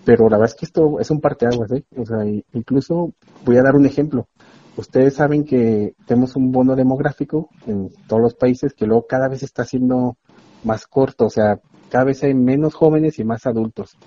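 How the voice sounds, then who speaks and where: Male academic guest, husky and warm-toned, earnest explainer, dull dry lo-fi recording. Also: mid-range voice, normal speed, no room sound. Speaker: university expert guest. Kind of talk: explanatory interview talk.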